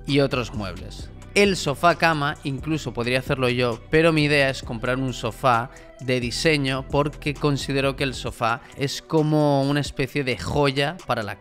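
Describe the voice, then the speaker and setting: Guy, 30s, chatty and relaxed, talking at his desk mic, bit of music behind. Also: mid-range voice. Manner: relaxed, chatty, gestures a lot while explaining. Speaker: vlogger and designer. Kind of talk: casual explanatory vlog. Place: small room at home, indoors. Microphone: large studio mic on boom arm.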